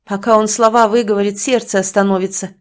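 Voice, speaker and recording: A woman voicing a frantic village mother who scolds loudly. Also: fairly even intonation, fast talker, lightly acted. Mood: frantic scolding. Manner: shrill scolding, wailing.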